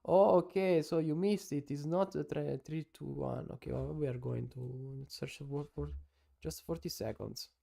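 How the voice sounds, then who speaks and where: A man from Italy with an Italian accent, giving halting casual live-stream commentary on taekwondo fights and answering viewers. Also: fairly high pitch, vocal fry, dark tone. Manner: halting non-native English with many "uh"s, chatting with viewers.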